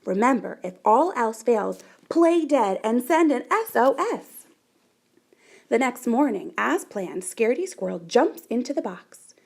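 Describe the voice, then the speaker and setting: Female storybook reader, high and very lively, unhurried, expressive delivery for young listeners. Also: vocal fry. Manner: animated, deliberate, dramatic emphasis. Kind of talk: expressive children's picture book read-aloud.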